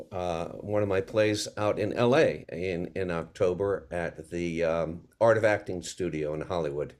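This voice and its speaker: A man speaking haltingly and repeating himself as he introduces himself as a guest on a casual online panel. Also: sits low, darkish tone. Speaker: panel guest, playwright and teacher.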